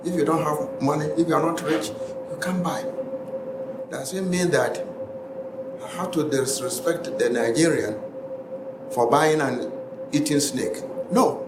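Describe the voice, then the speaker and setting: A man's voice: fairly high pitch, measured pace, pauses often. Male lecturer, Ghanaian accent, emphatic, repetitive phrasing, roomy classroom sound, noticeable background noise. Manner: emphatic, repeating phrases for stress. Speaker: college lecturer. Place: classroom, indoors. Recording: echoey classroom, noisy, room ambience.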